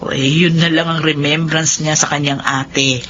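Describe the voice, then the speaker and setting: A middle-aged woman playing a worried, gently pessimistic mother in a Filipino radio drama, emotive and hesitant. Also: sits low, flat delivery, moderate pace. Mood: sad, confiding. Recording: dull lo-fi radio, background noise.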